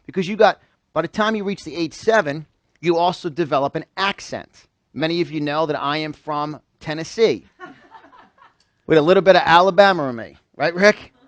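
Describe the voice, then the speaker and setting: High-voiced, emphatic male motivational speaker. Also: normal speed, dry recording. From New York, United States. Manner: emphatic, repeats phrases and fires questions at listeners. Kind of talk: motivational seminar talk to a live audience. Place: live event room with a small audience.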